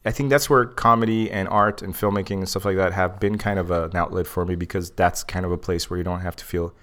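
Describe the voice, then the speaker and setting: A man's voice: low voice, fairly even intonation, fast talker, fairly bright tone. A man chatting casually and comedically as a podcast host, in a rambling, self-deprecating way.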